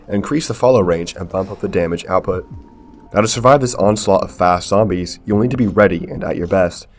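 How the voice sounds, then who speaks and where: A man narrating a scripted gaming tutorial in a measured, explanatory way, as a video creator. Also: sits low, a little mellow, a little hiss or hum. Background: background music.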